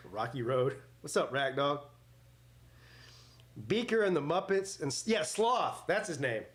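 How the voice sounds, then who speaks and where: A man's voice: on the high side, sing-song, measured pace, fairly bright tone. A man in his forties hosting a live sports watch party, mixing casual chat with play-by-play in a blunt, joking, rambling way. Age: 40s.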